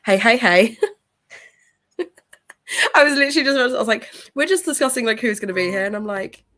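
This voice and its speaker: A woman's voice: a little high, lively intonation, moderate pace, a bit bright. A young woman hosting a book livestream, talking casually with a blocked nose and sore throat, greeting viewers by name and laughing often. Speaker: book channel host.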